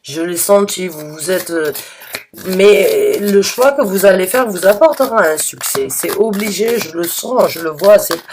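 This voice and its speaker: A slightly hoarse woman giving a spontaneous tarot reading to her viewers, halting and restarting often, with earnest, reassuring advice. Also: on the low side, a little mellow, slight background noise. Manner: hesitant, halting with many restarts and fillers. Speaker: tarot reader.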